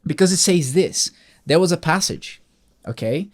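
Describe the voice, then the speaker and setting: A man's voice: on the high side, lively intonation, normal speed. A man hosting a Bible reading, patiently explaining scripture to a child with coaxing questions. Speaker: host of a Bible reading show.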